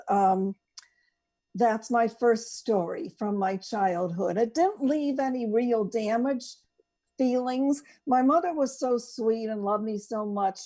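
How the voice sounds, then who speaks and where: Slow-talking woman telling personal stories. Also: a little high, mellow. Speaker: co-host. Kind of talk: casual personal storytelling.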